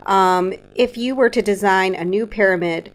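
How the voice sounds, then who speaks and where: A woman with a voice of middle pitch conducts an interview. She puts questions to her guest as the interviewer. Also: normal speed.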